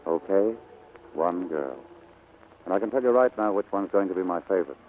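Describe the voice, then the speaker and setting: A man's voice: low voice, expressive, normal speed, darkish tone, slight background noise, poor audio. An actor playing a devoted, earnest male pilot in love, in 1940s radio drama style. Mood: eager, loving. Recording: vintage 1940s radio recording, faint music.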